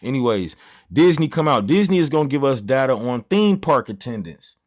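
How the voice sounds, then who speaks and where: A man's voice: mid-range voice, sing-song, normal speed, darkish tone. Man in his thirties, livestream host, casual slangy chat, rambling asides. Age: 30s. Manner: loose slangy banter, easily sidetracked. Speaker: trading stream host.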